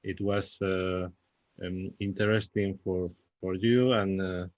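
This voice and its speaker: Halting, low-voiced Spanish man, slow delivery. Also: fairly even intonation, dry recording. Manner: halting, searching for words in a second language. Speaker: playwright. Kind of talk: unscripted post-show discussion. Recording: dull, muffled lo-fi audio.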